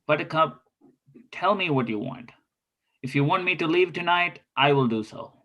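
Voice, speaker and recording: A man's voice: a little high, lively intonation, normal speed, pushed and bright. A man playing an earnest thirty-something spy in a scripted table read, speaking in formal, stilted phrases.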